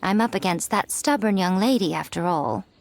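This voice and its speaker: Self-assured refined woman for a game, actress, wry and teasing, medium pitch, very expressive intonation. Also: normal speed, slight background noise, close to an everyday voice. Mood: wry, composed. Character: poised, teasing, self-assured elegant female writer. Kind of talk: animated video game voice acting.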